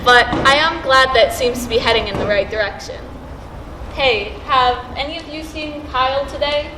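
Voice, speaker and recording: Echoey noisy stage rec, young woman playing candid steady teen girl in a school play, slightly high voice. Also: moderate pace, close to an everyday voice. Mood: lightly wry. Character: candid teenage girl student with cancer, steady. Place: stage in a large room.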